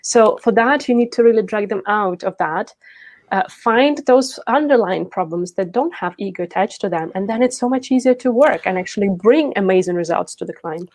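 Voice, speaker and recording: A woman's voice: mid-range voice, lively intonation, moderate pace, darkish tone, no echo. Woman telling her story. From Ukraine. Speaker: interview guest, leadership and team coach. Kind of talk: conversational personal storytelling.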